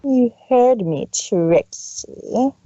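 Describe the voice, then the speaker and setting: Guy doing a mean snooty big sister pony, high slow scolding voice, super contemptuous, storybook villain type. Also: animated, dark tone, no room sound, highs cut off, exaggerated voice. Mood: cold, judgmental. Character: cold, cruel, haughty older-sister pony mare.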